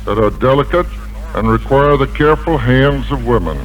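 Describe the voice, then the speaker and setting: A man's voice: mid-range voice, normal speed. A man speaking as an army colonel, giving formal scripted answers in a 1940s radio interview. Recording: faintly noisy vintage 1940s radio recording.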